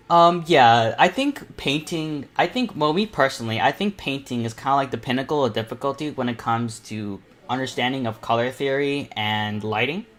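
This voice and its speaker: A young man of eighteen speaks at a middle pitch as he hosts a painting demonstration. His delivery is informal and conversational, like a live tutorial. He tends to ramble and think out loud while he works. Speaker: self-taught artist hosting a painting panel.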